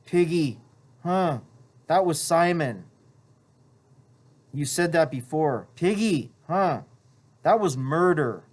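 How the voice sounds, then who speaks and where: Guilt-ridden boy leader, low and halting, as a reader puts him on. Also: expressive. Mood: stricken.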